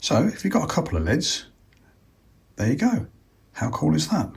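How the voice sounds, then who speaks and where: A man's voice: on the high side, big pitch swings, moderate pace, creaky. A British man presenting a casual step-by-step installation demonstration, talking informally and unhurriedly as if thinking aloud. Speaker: presenter of a product installation tutorial.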